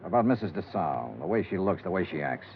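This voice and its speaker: A low-voiced, hard-boiled radio detective. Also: male voice, big pitch swings, quick pace, creaky, exaggerated voice. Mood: suspicious. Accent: American. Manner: terse, probing, world-weary. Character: hard-boiled, skeptical male insurance investigator. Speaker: lead radio actor and narrator. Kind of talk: 1950s radio detective drama acting. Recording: old radio recording, narrow band, hissy.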